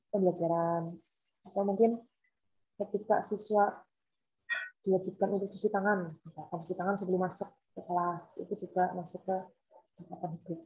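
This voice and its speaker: A woman's voice: lowish voice, steady tone, normal speed, pauses often, darkish tone. A woman lecturer from the of Indonesia, teaching an online class informally with examples and repetition over a laptop video call. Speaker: university lecturer. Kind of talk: online lecture, explaining and asking students. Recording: dull, lo-fi video call audio.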